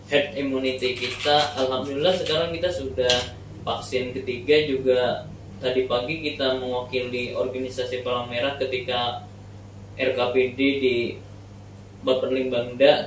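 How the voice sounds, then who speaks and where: A man, answering casually and wordily as an interview guest. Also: mid-range voice. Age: early 30s. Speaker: podcast guest, youth organisation secretary and teacher. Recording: echoey room, distant mic, faint noise.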